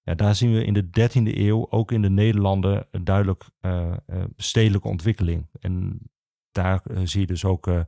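Male podcast guest, archivist explaining history; hesitant delivery, mellow tone, dry close sound. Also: sits low, level tone, vocal fry, no echo. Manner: halting, hesitant, many filled pauses.

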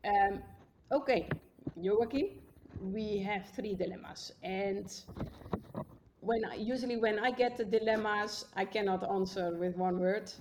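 A woman's voice: mid-range voice, measured pace, background noise. A Dutch-native woman co-hosting a podcast in a chatty, upbeat way. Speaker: podcast co-host. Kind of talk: casual podcast conversation.

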